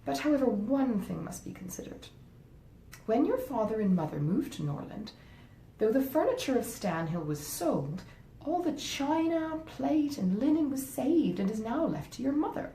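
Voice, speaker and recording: A grasping, genteel wife from a storybook classic, voiced by a woman reader, pressing her case with smug insistence. Her pitch swoops freely while the tone stays soft-edged and mellow. The room adds audible echo. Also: very animated, moderate pace. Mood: resentful. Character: grasping, self-justifying married gentlewoman, coolly persuasive. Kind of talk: dramatized audiobook reading of a classic novel. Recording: roomy echo, faint rumbling noise.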